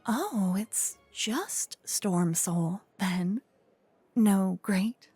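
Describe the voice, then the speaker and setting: A woman playing a flirtatious, witty royal tailor in a scripted fantasy ASMR roleplay, breathy and often whispering, with a teasing, refined, gossipy manner, close to a binaural microphone. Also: mid-range voice, lively intonation, drawn-out words, dark tone, no room sound, soft background music, full character voice. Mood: teasing, confident.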